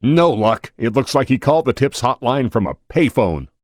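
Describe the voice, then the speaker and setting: Crooked political sidekick played by a man: swooping pitch, scheming, conspiratorial, radio-play delivery. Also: sing-song, moderate pace, darkish tone, dry recording, clean studio sound, lightly acted. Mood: businesslike. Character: crooked, conniving crony of a corrupt mayor. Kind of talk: audio drama acting.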